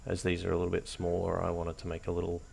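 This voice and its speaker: A man hosting a hobby tutorial, narrating casually off the cuff in a hesitant, low-key, encouraging way. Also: monotone, fast, gravelly, a little mellow, slight background noise. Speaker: hobby craft tutorial host.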